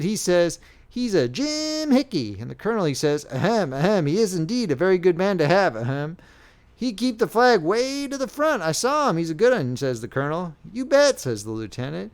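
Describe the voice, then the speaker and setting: A man's voice: high-pitched, smooth, voice pushed up. A man doing an eager gossiping soldier. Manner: breathless storyteller, repeating "he says".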